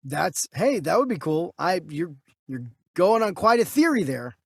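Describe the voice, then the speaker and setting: Male co-host, notably high voice with lively swings, conversational, thinking out loud, dry recording. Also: unhurried, creaky, husky, no room sound. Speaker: podcast co-host. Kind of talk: casual conversational reactions and commentary.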